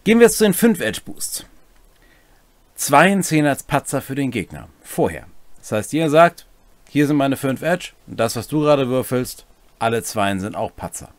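A man's voice: medium pitch, sing-song, moderate pace, no room sound. A middle-aged man co-hosting a semi-scripted explainer of tabletop game rules, with casual asides, in a room at home. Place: small home room, indoors.

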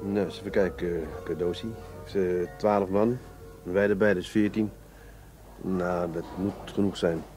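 An actor playing a bossy police adjutant with a gruff, offhand, colloquial way of talking in a Dutch radio play. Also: male voice, sits low, steady tone, unhurried, over music. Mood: brisk command. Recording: noisy radio drama recording.

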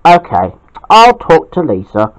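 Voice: mid-range voice, wildly swooping pitch, a little slow, rough recording. An amateur storyteller playing a needy male agent who talks in a childlike, earnest way. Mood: sad, pleading. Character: sad, needy male agent wanting a playmate.

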